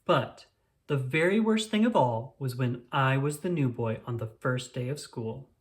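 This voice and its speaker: A storyteller reading a children's book aloud in an expressive, deliberate way, drawing out words. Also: medium pitch. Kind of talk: storybook read-aloud, first-person child narrator.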